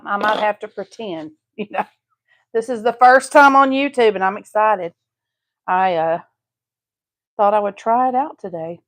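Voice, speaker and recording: A woman with a Southeast Texas accent hosting a live craft stream, chatting homely with viewers as she paints. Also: medium pitch. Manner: chatty, homey, talks to viewers while painting. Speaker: craft channel host.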